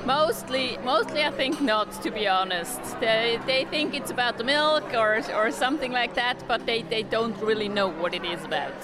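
A woman's voice: on the high side, moderate pace, hardly any pauses. A woman from Switzerland, answering interview questions as a delegate in a hesitant way, repeating words. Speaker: coeliac association delegate being interviewed. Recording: loud music and crowd behind voice. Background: music, busy crowd.

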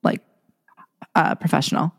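A young woman co-hosting a casual chat podcast, rambling with many fillers and restarts. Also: moderate pace. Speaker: podcast co-host. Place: Los Angeles.

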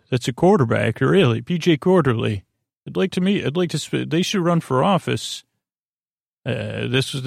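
A man hosts a bedtime podcast in a medium-pitched voice full of fry. He rambles whimsically, stopping and restarting as he meanders from one thought to the next. The sound is dry, with no room around it. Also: no room sound. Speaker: podcast host.

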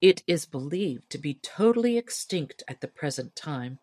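A woman's voice: low-pitched, expressive, normal speed, darkish tone, no room sound, clean studio sound. A woman reading a storybook tale aloud as scripted narration. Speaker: audiobook narrator.

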